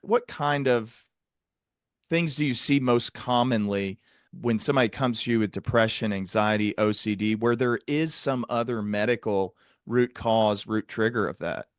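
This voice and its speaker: A man speaks as the host of a clinical interview podcast. His pitch sits in the middle and the sound is very dry. He is earnest and wordy, rephrasing as he reflects at length. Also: moderate pace, no room sound. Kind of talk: conversational interview with long reflective remarks.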